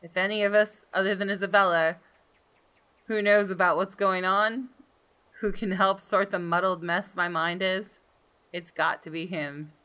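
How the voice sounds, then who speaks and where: A woman's voice: medium pitch, fairly even intonation, measured pace, pushed and bright, clear, resonant tone. A woman gaming host doing a let's play, reading story narration aloud and commenting to viewers. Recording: faint music behind. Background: faint game music.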